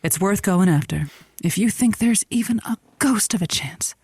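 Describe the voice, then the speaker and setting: A woman plays a brash lonely-hearts radio host in a comedy audio drama. She talks conversationally, teasing and blunt, with a wry, motherly push behind her bawdy advice. Her pitch sits in an ordinary middle female range. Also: expressive, normal speed, a little mellow, a little hiss or hum, natural voice. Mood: blunt, prodding.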